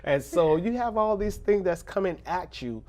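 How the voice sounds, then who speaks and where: A man who grew up in a rough neighborhood, speaking as a pastor in a casual interview, earnest and preacherly, breaking into laughs and jokes. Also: high-pitched, expressive, normal speed, darkish tone. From Little Haiti, Miami.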